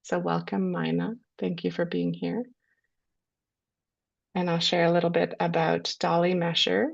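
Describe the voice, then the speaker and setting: A woman with a low voice and a cold, hosting a webinar and introducing its speakers in a measured way with frequent ums. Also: little pitch movement, vocal fry. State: has a cold. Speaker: webinar host. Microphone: video call. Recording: lo-fi video call audio.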